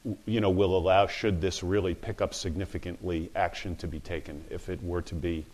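A man speaking on a panel in unscripted remarks, halting, with many fillers and restarts. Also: sits low, fairly even intonation, a little mellow.

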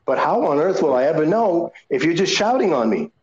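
Man, somewhat high-pitched, conversational debater, exasperated, halting and interjecting. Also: sing-song, a little mellow, slightly rough, dull audio. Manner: exasperated, stammering restarts, interjecting.

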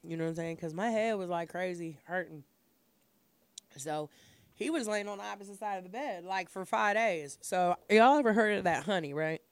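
A woman with a voice worn raspy by a sinus infection hosts a loose, casual chat. She tells stories loudly and crudely, full of slang. The sound is dry and close. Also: fairly low pitch, normal speed, dry recording. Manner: loud, laughing, storytelling with crude slang. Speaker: podcast host.

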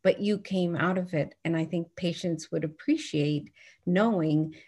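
Woman, middle-aged, from Newfoundland, earnest, reflective, lowish voice, interview guest. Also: a little slow, a little mellow, breathy edge. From Newfoundland, Canada. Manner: earnest, reflective, frequent restarts. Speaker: guest, physician and author. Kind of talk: interview conversation.

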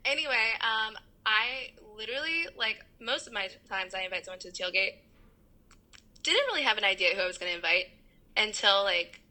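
Bright-voiced young woman co-host. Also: mid-range voice, animated, brisk, a little hiss or hum. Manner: dramatic, flustered complaints, giggly. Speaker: podcast co-host. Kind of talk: casual podcast chat.

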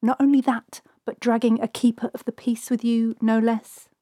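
A man's voice: extremely high pitch, flat delivery, normal speed, dark tone, dry recording, clean studio sound, pitched above the performer's own voice. A man voicing a brash, streetwise half-elf informant who passes as female, with a blunt, sardonic, colloquial delivery in a fantasy audiobook. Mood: scornful. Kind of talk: audiobook character dialogue.

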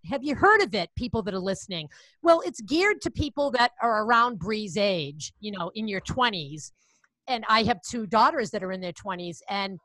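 A woman's voice: a little high, bright, forceful tone, dry recording, low-quality recording. A woman in her fifties with a Boston accent, hosting a podcast interview in a bubbly, gushing way. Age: 50s. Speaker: podcast host. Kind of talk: casual interview chat.

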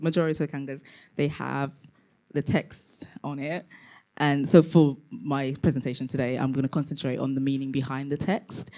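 A woman's voice: low-pitched, warm tone. A woman from Tanzania, a fashion designer, giving a live presentation about her brand to an audience, speaking hesitantly with many fillers and restarts.

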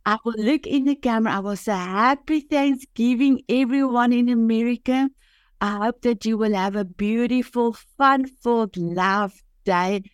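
A woman's voice: on the high side, normal speed, clear, resonant tone, no echo, studio quality. An older woman from South Africa, a native Afrikaans speaker with a South African accent, telling her personal story as a podcast guest in an earnest, heartfelt way with many restarts. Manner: earnest and heartfelt, with frequent restarts and simple phrasing.

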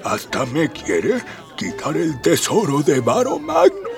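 A man's voice: fairly high pitch, wildly swooping pitch, gravelly, distant mic, faint music behind, some chatter behind, heavily acted. An actor playing a pompous, aggrieved emperor and father in a scripted radio drama, speaking in a theatrical, grandiose way. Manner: theatrical, drawn-out, grandiose speech.

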